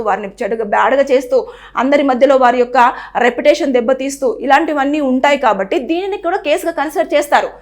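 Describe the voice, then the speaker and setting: Fast-talking high-voiced woman presenter. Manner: earnest, advising, repeats phrases and acts out quoted speech. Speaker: news presenter. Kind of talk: explainer to camera on a legal topic.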